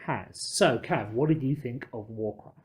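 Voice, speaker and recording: A man with a medium-pitched voice hosts a podcast. He speaks in long, measured sentences with wry, elaborate turns of phrase. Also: darkish tone. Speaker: podcast host. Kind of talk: discursive podcast commentary.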